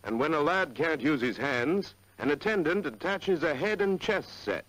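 A man's voice: fairly high pitch, lively intonation, moderate pace, fairly bright tone, dry recording, poor audio. A man with an American accent narrating a 1940s educational film in an earnest, polished, formal way on a vintage soundtrack.

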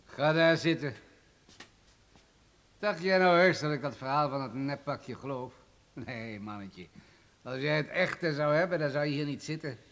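An actor playing a suspicious millionaire villain in a radio drama, brusque and contemptuous in manner. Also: male voice, fairly high pitch, unhurried, long pauses, a bit bright. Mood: scornful.

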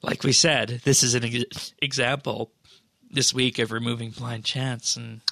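A man co-hosting a podcast, chatting casually about game news in a measured, hesitant way. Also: mid-range voice, flat delivery, creaky, sharp tone, no echo. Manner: measured, hesitant, drawn-out phrasing. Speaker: podcast co-host. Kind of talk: casual gaming news chat.

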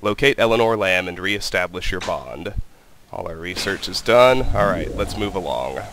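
Low-voiced man commentating a game. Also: normal speed, slight background noise. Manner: calm, methodical, reads in-game text aloud. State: has a cold, voice slightly weak. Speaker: let's play host. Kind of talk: casual gameplay commentary. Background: game music.

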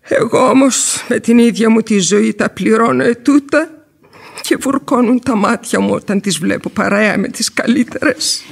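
A high-pitched older widow pours out long, plaintive grievances about keeping up appearances. She is garrulous and genteel-poor, in theatrical radio drama style. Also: gravelly, breathy edge. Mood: aggrieved lamenting. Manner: plaintive, long-winded lamenting. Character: garrulous complaining impoverished widowed mother of three daughters. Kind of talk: radio theatre acting in Greek.

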